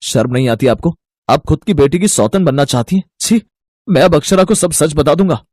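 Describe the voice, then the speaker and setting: A decent young husband, voiced by a man in a moral story. His voice sits a little high and sounds earnest and reproachful. It is recorded dry. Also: animated, fairly quick, a little mellow, dry recording. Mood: disgusted. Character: decent young husband, uneasy and disapproving son-in-law. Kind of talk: Hindi moral-story cartoon voice acting.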